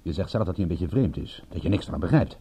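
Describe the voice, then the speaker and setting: An actor playing an insistent, brusque private detective in a radio drama, speaking in a terse, pressing way. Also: male voice, low-pitched, animated, fast talker, dark tone, breathy edge, exaggerated voice. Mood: grimly insistent.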